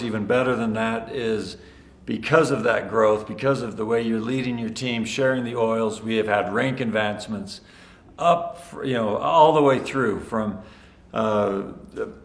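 A middle-aged man, a company executive, speaks upbeat and congratulatory to sales leaders while standing before a camera in a bright office. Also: on the low side. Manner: upbeat and congratulatory, some fillers. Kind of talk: scripted-free video address to sales leaders. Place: large bright office with tall windows, indoors. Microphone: standing before a fixed camera, two-shot. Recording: echoey room.

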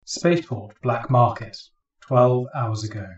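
A man's voice: medium pitch, lively intonation, unhurried, recorded from a distance, lo-fi sound, close to an everyday voice. A man narrating a science fiction audio series in a dramatic, scripted style.

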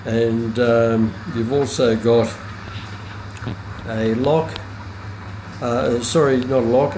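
A man talks through a hands-on demonstration at a slow, deliberate pace. His delivery is informal and hesitant, with frequent pauses mid-thought. The room echoes around his medium-pitched voice, with clear noise behind it. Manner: hesitant, unscripted, pausing often mid-sentence. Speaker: radio hobbyist reviewer. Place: indoor room with hard countertop. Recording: roomy echo, clear background noise.

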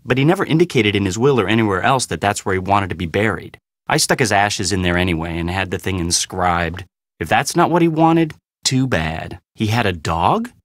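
An actor playing an anxious young slacker in a video game, with a casual drawl and a defensive, pleading manner. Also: male voice, lowish voice, a bit bright, no echo, clean studio sound. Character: whiny, anxious young slacker man, pushed around by his girlfriend.

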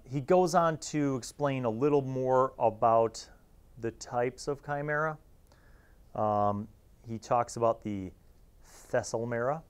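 A man, hosting a casual review in an informal, halting way and sometimes reading aloud. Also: mid-range voice. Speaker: host reviewing old gaming magazines.

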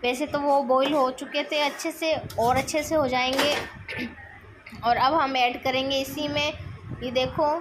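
Woman, high voice, sore throat, chatty home cook explaining steps; noisy kitchen phone recording. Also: steady tone, a little slow. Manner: chatty, explains each step while stirring. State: sore throat, clearing it often. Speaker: cooking vlogger. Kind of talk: casual cooking demonstration. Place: home kitchen at a gas stove.

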